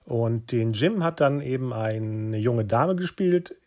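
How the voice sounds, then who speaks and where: A man's voice: medium pitch, slow, darkish tone. A man hosting a casual podcast chat, wry and thinking aloud in a loose manner. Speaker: podcast host.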